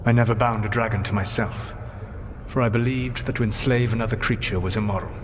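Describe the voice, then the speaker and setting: Ancient elven male mage for a fantasy game, played by a man: lowish pitch, grave formal delivery, clearly noisy backdrop. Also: steady tone, lightly acted. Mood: regretful. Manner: measured, formal, solemn. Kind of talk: scripted fantasy game dialogue.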